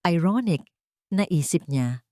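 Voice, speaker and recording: A woman's voice: on the low side, expressive, takes it slowly, mellow, dry recording, studio quality. A woman with a Filipino accent giving a scripted, dramatic story narration.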